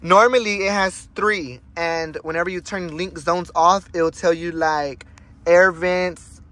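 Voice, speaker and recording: A man's voice: high voice, fairly even intonation, a little slow, bright, forceful tone. A young man hosting a vlog from inside a car, chatting casually, swearing and laughing a lot. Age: young adult. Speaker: vlog host. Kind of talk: casual chat. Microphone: phone camera on the dashboard.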